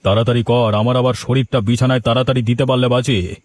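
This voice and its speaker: A man's voice: mid-range voice, steady tone, fast talker, no room sound, natural voice. A man voicing an imperious, impatient landlord in a cartoon, bossing people about. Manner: bossy, impatient. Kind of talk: cartoon dialogue.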